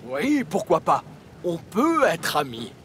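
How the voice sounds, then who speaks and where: A kindly old steam tram. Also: male voice, on the high side, huge pitch swings, noisy background, full character voice. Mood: gentle. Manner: slow, mild and soothing. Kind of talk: cartoon dub acting. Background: music.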